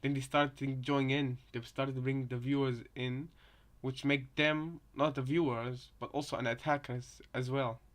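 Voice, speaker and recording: A man's voice: mid-range voice, flat delivery, moderate pace, few pauses, a bit bright. Rambling male reactor, casual commentary. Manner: rambling, repeats and reworks phrases. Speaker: reaction video host.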